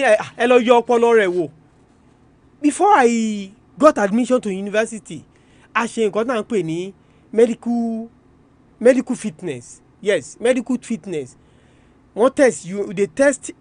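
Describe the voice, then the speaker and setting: Very high-pitched Nigerian Yoruba-accented man lecturing. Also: no room sound. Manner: didactic, repeats key terms, asks rhetorical questions; switches between Yoruba and English. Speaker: radio presenter. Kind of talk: educational radio talk, explanatory.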